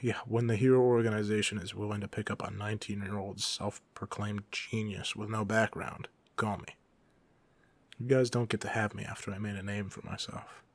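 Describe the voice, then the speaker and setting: Low, creaky playful young villain, acted by a man. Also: steady tone, moderate pace. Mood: wry, self-assured. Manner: teasing, casual, chuckling banter. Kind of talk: ASMR roleplay, one side of a casual conversation. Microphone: close microphone.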